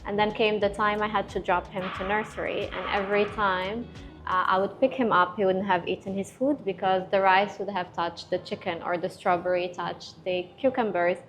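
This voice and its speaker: A middle-aged woman from Morocco, a guest entrepreneur in a casual panel chat, telling stories in an animated way with emphatic repetition. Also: mid-range voice, moderate pace, faint music behind.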